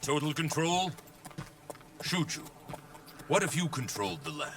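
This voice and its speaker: A man playing an ambitious, commanding warlord in a video game, speaking in a grand, measured, declamatory way. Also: fairly high pitch, lively intonation, fairly bright tone, dry recording, recorded from a distance. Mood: solemn, visionary. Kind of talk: dramatic video game voice acting.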